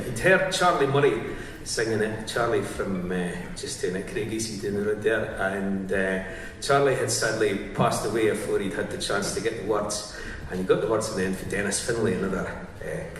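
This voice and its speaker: A Scottish man introducing a song to a concert audience in an easygoing, chatty way with a gentle humor. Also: fairly low pitch, steady tone, moderate pace, slight background noise. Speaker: folk singer introducing a song. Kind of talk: informal stage introduction. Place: town hall concert, live audience. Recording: echoey hall, voice from far off, audience heard.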